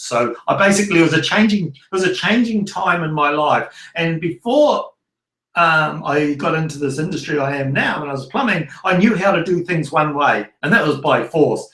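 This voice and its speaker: A man's voice: medium pitch, fairly bright tone, roomy sound, low-quality recording. A middle-aged man with a New Zealand accent, giving a loose, rambling masterclass talk as a prosperity coach, full of restarts and fillers.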